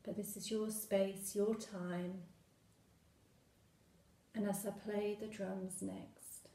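A woman guides a meditation in a roomy, echoing space. Her voice is soft-toned and mellow, and she speaks very slowly. She gives gentle, soothing instructions in short phrases, leaving long pauses between them. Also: mid-range voice, flat delivery, vocal fry.

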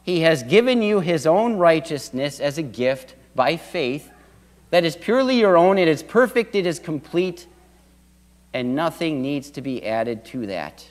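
A middle-aged man preaches from the pulpit of a church sanctuary, building to earnest swells of emphasis. His voice sits a little on the high side for a man. The sound is picked up from a distance, and long reverb from the sanctuary trails after his phrases. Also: big pitch swings, unhurried. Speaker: pastor. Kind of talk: sermon.

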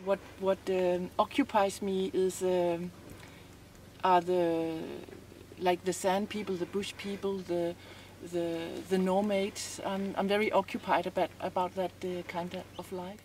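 A woman's voice: on the low side, flat delivery, a little slow, darkish tone, distant mic, a little hiss or hum. A middle-aged woman from Denmark, a visual artist, speaking thoughtfully and searching for her words, with frequent restarts, recorded outdoors by a stone wall. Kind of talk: casual interview.

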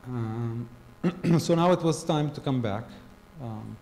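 A middle-aged man from Gaza telling a personal story to a conference audience into a handheld mic, halting with frequent ums and restarts. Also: medium pitch, darkish tone. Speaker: conference speaker. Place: large hall with a stage. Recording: long hall reverb, faint ambience.

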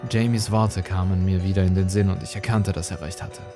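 A man reading a scripted horror story as a narrator, with measured, suspenseful, dramatic delivery. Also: low voice, fairly even intonation. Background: dark music.

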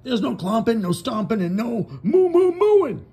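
A man voicing an eager, fussy male farmer in a picture-book reading, in a high, loud, emphatic voice. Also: very animated, unhurried, a little hiss or hum, heavily acted.

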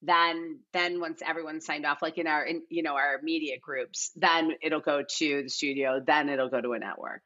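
Low-voiced woman, slightly muffled. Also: steady tone, moderate pace, hardly any pauses, bright, forceful tone. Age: middle-aged. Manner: informal, repeats herself for emphasis. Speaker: casting director, podcast guest. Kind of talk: casual podcast interview talk. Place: large living room at home. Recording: slightly dull, lo-fi video-call sound.

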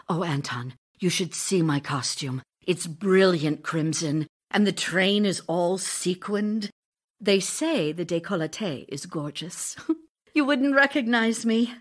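A woman's voice: medium pitch, fairly bright tone, heavily acted. A woman playing a vivacious, self-dramatizing actress wife in an audio drama, effusive and teasing, devoted yet restless. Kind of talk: audio drama acting, reading love letters.

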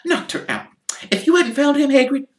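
A man's voice: very high voice, sing-song, moderate pace, recorded from a distance, pitched above the performer's own voice. A man voicing an anxious, fussing, motherly middle-aged woman who frets and scolds, read in an echoey room. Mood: fretful. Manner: fretful, scolding, breathless.

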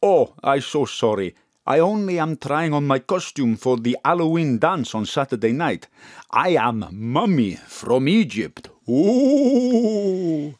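An actor playing a kindly, fussing chip shop owner with a foreign accent and eager, apologetic broken English in an audio drama. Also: male voice, fairly high pitch, very animated, slow, a little mellow, dry recording, studio quality. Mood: friendly, apologetic.

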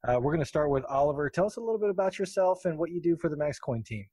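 A man's voice: on the high side, animated, very fast, dark tone, slightly rough, no echo, clean studio sound. A man hosting a podcast in an upbeat, chatty, jokey, unscripted way. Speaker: podcast host.

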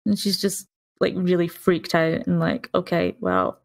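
A woman's voice: lowish voice, big pitch swings, unhurried, gravelly. A woman in casual, informal chat as a player on a gaming podcast, often acting out imagined quotes.

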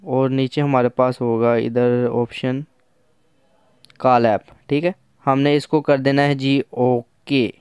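Even-toned, clear man, tech tutorial. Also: mid-range voice, takes it slowly, dry recording, studio quality. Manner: conversational, instructional, mixes in English terms. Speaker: tech app reviewer. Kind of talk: casual hands-on phone app tutorial.

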